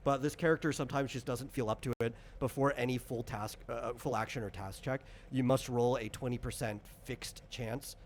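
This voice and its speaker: A man's voice: mid-range voice, fairly even intonation, fairly quick, few pauses, slight background noise. A man explaining things in casual chat, in a rambling, hesitant way, as a game designer running character creation.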